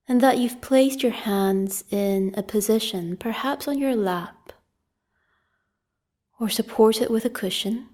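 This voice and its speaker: A woman leading a guided meditation as a teacher, giving calm, gentle, unhurried instructions with long pauses and a soft voice that turns slightly breathy at phrase ends. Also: medium pitch.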